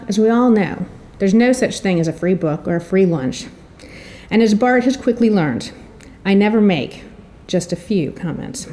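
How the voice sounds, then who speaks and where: A middle-aged woman with a soft, mellow tone and an average pitch for a woman. She delivers a prepared introduction as a storyteller would, with careful articulation and a wry, theatrical touch. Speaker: presenter introducing an author.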